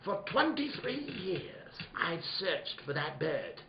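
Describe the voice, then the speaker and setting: An actor playing a pompous, courtly, greedy crime boss in a noir radio play, florid and grandiose. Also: male voice, high-pitched, sing-song, slow, heavily acted. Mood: obsessed storytelling. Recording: dull roomy recording with background noise.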